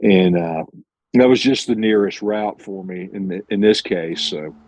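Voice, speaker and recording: A man's voice: low voice, a little slow, darkish tone, no room sound, poor audio. A man who drives his own truck, answering interview questions in a plainspoken, earnest way, with many restarts and self-corrections. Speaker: owner-operator truck driver, interview guest.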